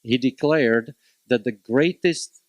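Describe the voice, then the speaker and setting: A man in his fifties from Slovakia with a Slovak accent, speaking as a panelist at a public policy forum, measured and emphatic with frequent hesitations. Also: mid-range voice, dry recording. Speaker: panelist from a local college.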